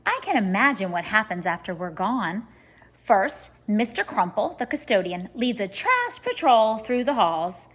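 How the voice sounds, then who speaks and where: A woman reading a children's story aloud like a teacher, expressive and speaking straight to young listeners. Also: medium pitch, measured pace. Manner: expressive, addressing children directly.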